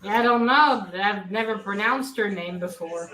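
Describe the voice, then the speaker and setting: A woman's voice: medium pitch, a little slow, a bit bright. A woman chatting playfully as a panelist in a casual group talk. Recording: echoey room.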